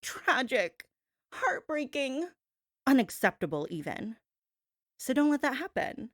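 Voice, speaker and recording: A woman with a fairly high voice hosts a gossip-show parody in a sassy, theatrical way. Her pitch swings widely as she stretches key words for emphasis. The sound is close and dry. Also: sing-song, a bit bright, no echo. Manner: sassy, theatrical, drawn-out emphasis, addresses listener as babe. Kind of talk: performed hypnotic gossip monologue, talk-show homage.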